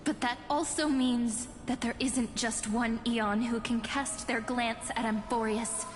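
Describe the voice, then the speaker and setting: An actress playing a calm, defiant young heroine in a video game, reasoning firmly against a foe. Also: female voice, on the high side, unhurried, background noise, close to an everyday voice. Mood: calm, resolute. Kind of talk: video game story voice acting. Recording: reverberant game audio under music.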